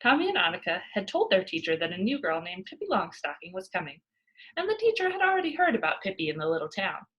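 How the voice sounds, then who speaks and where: Woman, children's story narration, expressive, highly varied intonation, soft husky edge. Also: fast talker, rough recording. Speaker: storybook reader.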